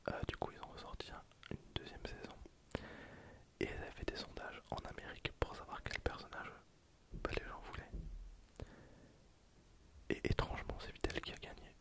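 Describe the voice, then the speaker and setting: ASMR game streamer: fully whispered, soft, casual delivery, thinking aloud while playing. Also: normal speed, pauses often. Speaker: ASMR gaming video creator. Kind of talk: whispered ASMR gameplay commentary.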